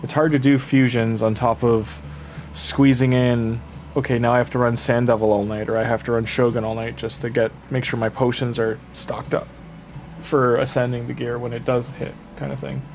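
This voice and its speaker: A man's voice: mid-range voice, normal speed, slight background noise. Sniffly man chatting on a game stream. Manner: rambling, filler-heavy, relaxed advice to chat. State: sniffly, getting over a cold. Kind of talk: casual livestream chat with viewers.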